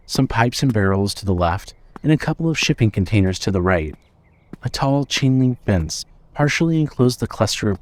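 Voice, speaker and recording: A man's voice: medium pitch, darkish tone. A man reading scripted fiction as the narrator of an audio story.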